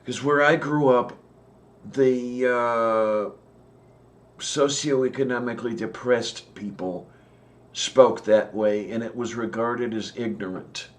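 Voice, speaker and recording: A man co-hosting a casual chat, talking with many "um"s and pauses. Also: mid-range voice, fairly even intonation. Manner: slow, hesitant, many "um"s and pauses. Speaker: podcast co-host.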